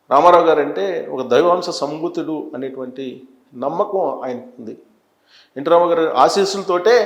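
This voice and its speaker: Higher-pitched man commentating, roomy echo. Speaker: news analyst presenter. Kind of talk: unscripted news commentary. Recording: echoey, roomy sound.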